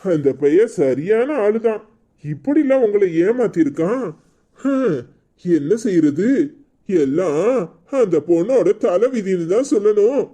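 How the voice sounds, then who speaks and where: A man's voice: high-pitched, expressive, measured pace, dark tone. A man voicing a blunt, indignant older village man, scolding and exclaiming in a cartoon drama. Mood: exasperated, advising.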